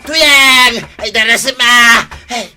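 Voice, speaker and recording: A panicky old village coward in a radio comedy. The voice is piercingly bright and whiny, tumbling into flustered exclamations over faint music. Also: male voice, very high voice, fairly even intonation, takes it slowly, heavily acted. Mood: terrified. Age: older. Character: cowardly, panicky older village man, Tata Badong. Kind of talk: comedic radio drama acting.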